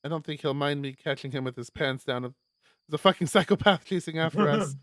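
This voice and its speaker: Higher-voiced man reading a visual novel aloud. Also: a little fast, no echo. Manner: expressive, stumbles over words while reading. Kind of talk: live read-aloud narration with casual asides.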